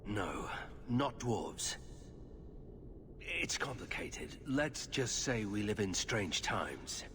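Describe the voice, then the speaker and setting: A man playing a grave, guarded warrior warden in a video game, speaking in a serious, measured way. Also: lowish voice, sing-song, in a large hall, heavily acted. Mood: explaining. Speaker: warden expedition leader in a fantasy game. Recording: clear background noise, game ambience.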